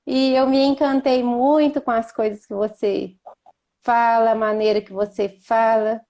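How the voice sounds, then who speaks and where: Mellow-voiced woman from Minas Gerais. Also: a little high, fairly even intonation. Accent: Minas Gerais Portuguese. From Minas Gerais, Brazil. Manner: slow, gentle and devout when praying. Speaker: host. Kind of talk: casual chat and spoken prayer on a live video call. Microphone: video call.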